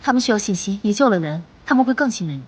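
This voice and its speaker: An actress playing an urgent, commanding squad leader in an animated battle drama. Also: female voice, mid-range voice, racing, husky, low-quality recording. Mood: persuasive. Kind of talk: dramatic dubbed animated-comic dialogue.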